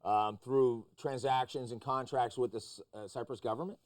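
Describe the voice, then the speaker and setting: A man's voice: medium pitch, fairly even intonation, normal speed. A man hosting a news discussion, putting probing questions and cutting in to press the point. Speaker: talk show host. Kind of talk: interview-style discussion of news.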